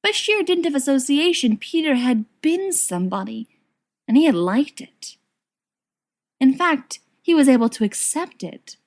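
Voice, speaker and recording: A woman reading a story aloud as an expressive scripted narration. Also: high voice, measured pace. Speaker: audiobook reader of fan fiction.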